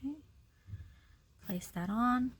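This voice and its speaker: A woman's voice: a little high, takes it slowly, slightly rough. A woman giving a casual step-by-step craft demonstration, informally talking through each action as she works. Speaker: craft tutorial instructor.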